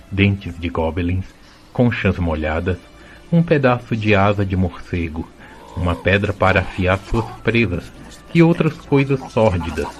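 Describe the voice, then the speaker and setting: A man with a Brazilian accent reading scripted storybook narration for an audio drama. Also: on the low side.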